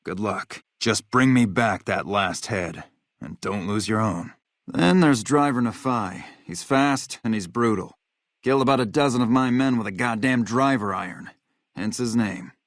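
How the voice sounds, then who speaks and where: An actor playing a hard-bitten, vengeful military officer in a video game, blunt, profane and matter-of-fact. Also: male voice, lowish voice, a little slow, dry recording, studio quality. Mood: stern, businesslike. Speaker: game character, army officer.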